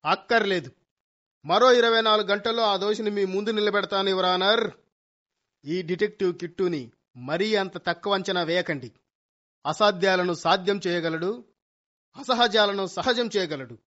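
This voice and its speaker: An actor playing a cocky young amateur detective son in a radio comedy, speaking with grandiose courtroom flourishes and English phrases mixed in. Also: male voice, high-pitched, moderate pace, pauses often, fairly bright tone. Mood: confident, insistent. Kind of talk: Telugu radio comedy play acting. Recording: dull lo-fi radio recording.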